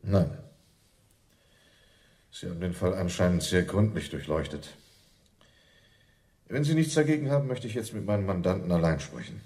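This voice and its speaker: An actor playing a cool, unscrupulous defence lawyer with a calculating manner, in radio crime drama style. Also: male voice, low voice, sing-song, normal speed, long pauses, dark tone. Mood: cool, formal.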